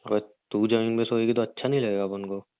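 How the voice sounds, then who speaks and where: A man playing a rough young gangster husband in an audio drama, crude and teasing yet protective, talking in slang. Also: on the low side, little pitch movement, quick pace, no echo, lightly acted. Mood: teasing, annoyed. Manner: slangy, crude, mild swearing. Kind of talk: audio roleplay drama, conversational. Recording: narrow phone-like dry sound.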